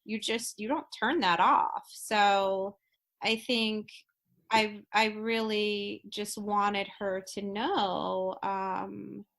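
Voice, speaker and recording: A woman in her late thirties, a podcast guest speaking in a halting, heartfelt way with many restarts and fillers. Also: medium pitch, little pitch movement, gravelly, a bit bright. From Florida. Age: 30s. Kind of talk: casual podcast conversation.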